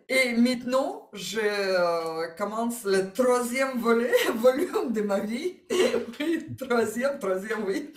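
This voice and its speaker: Ukrainian-accented woman, slow and careful, roomy echo around her. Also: medium pitch. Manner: slow, searching for words, laughs often. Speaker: interview guest, coaching client. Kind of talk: testimonial interview.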